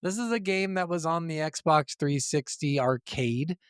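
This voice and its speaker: A man's voice: fairly high pitch, a little slow, clear, resonant tone, no echo, clean studio sound. A man chatting casually as a podcast co-host about games, swearing freely as he goes. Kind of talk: casual gaming podcast chat.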